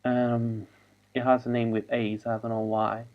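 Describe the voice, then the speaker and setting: Slow, flat-voiced man on a muffled call. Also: medium pitch. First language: Spanish. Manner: halting, wandering, trails off. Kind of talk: rambling monologue. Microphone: video call.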